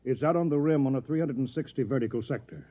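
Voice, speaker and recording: An actor playing a heavyset, businesslike army colonel in a 1950s radio drama, curt and matter-of-fact. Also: male voice, mid-range voice, fast, close to an everyday voice. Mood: grave, brisk. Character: fat, businesslike army colonel giving briefing. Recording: thin, phone-like vintage radio recording.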